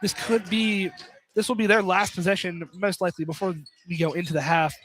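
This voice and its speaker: A man's voice: high-pitched, steady tone, a little fast, fairly bright tone, a little hiss or hum, rough recording. A young man doing informal live play-by-play commentary of a college basketball game, bantering with his co-commentator. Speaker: play-by-play announcer. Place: college basketball field house, live broadcast.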